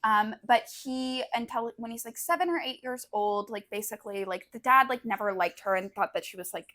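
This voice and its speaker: A young woman with a somewhat high voice, talking as a podcast co-host. Her delivery is informal and unscripted, like a chat between two people. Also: a little fast, pushed and bright.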